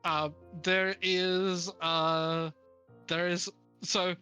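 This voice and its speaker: Woman co-host, low voice, casual rambling talk, soft music behind. Livestream chat. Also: little pitch movement, very slow, clear, resonant tone. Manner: rambling, searches for names, laughs often. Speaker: book club co-host.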